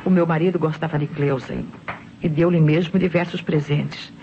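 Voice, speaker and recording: Frightened, evasive widow, muffled recording. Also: female voice, deep voice, fairly even intonation, moderate pace. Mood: aggrieved. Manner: insistent, anxious denials. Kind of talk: old radio drama acting. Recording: muffled, faintly noisy old radio recording.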